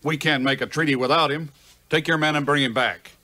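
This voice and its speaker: Sharp, commanding army general. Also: male voice, on the high side, fairly even intonation, brisk. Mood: stern, impatient. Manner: brusque, barking orders. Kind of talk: 1950s audio adventure acting.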